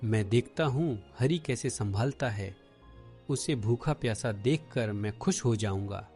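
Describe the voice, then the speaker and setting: A man's voice: mid-range voice, unhurried, darkish tone, faint music behind, lightly acted. A man playing a jealous, greedy young schemer, calculating and gloating, in a cartoon moral story.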